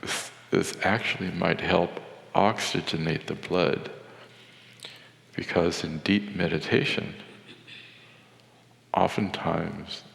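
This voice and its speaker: A man leading a guided meditation in a measured, contemplative way, with deliberate pauses. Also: deep voice, fairly even intonation, gravelly, fairly bright tone. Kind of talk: guided meditation with Sanskrit chanting. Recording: echoey hall with long reverb.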